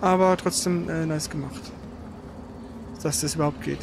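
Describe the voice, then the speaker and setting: Male let's player, casual and excitable, colloquial live game commentary over clearly audible game noise. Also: a little high, normal speed. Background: loud video game sound.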